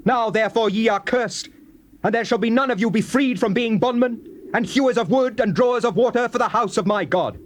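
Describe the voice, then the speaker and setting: An actor playing a stern, commanding Israelite war leader, declaiming in a dramatized scripture reading. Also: male voice, extremely high pitch, a little fast. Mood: indignant, cursing. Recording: old cassette tape with faint noise.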